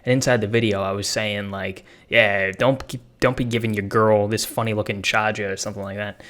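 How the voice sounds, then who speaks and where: A man's voice: on the low side, steady tone, brisk, a bit bright. A young man giving a casual, hyped, slang-heavy tutorial on making money online, talking off the cuff to his viewers. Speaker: online business creator.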